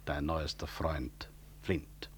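A very low male voice as a senior hospital director in a 1960s radio drama. He is composed and sardonic, delivering his lines with dry irony. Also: flat delivery, takes it slowly, husky, heavily acted. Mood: ironic. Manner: dry, ironic. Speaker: actor in a radio play. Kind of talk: 1960s German-language radio drama acting.

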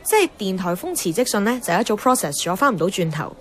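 A woman's voice: medium pitch, big pitch swings, quick pace, slight background noise, lightly acted. An actress playing an outspoken young woman in a Hong Kong Cantonese radio drama, blunt and teasing, moving between dialogue and inner narration. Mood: breezy, defiant. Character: outspoken, headstrong young woman, a radio host chasing her dream. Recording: music under the voice.

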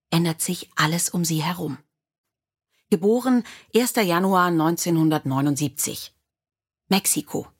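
Woman reading a storybook, kinda low and raspy husky voice. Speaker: audiobook narrator. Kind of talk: scripted storybook narration.

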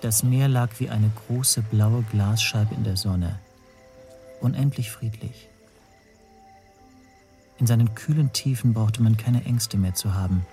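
An actor playing an anxious, self-doubting man who narrates his own story in a breathy, brooding hush. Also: fairly low pitch, unhurried, pauses often, faint music behind. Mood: despairing. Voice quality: husky, breathy, often near whisper. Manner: brooding, introspective, hushed. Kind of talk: first-person radio play narration.

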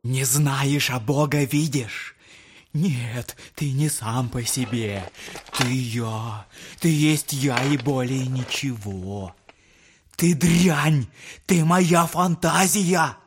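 A man's voice: medium pitch, very slow, background noise. An actor playing a feverish, tormented young man in an audio drama, arguing scornfully with his own hallucination. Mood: bitter, brooding. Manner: agitated, scornful, insulting. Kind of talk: dramatic audio play acting.

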